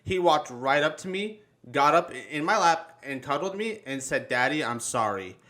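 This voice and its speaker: A man chatting casually and telling personal stories, halting and repeating words, swearing freely. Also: on the high side, animated, bright, forceful tone. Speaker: livestream talk show host. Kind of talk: casual chat, personal storytelling.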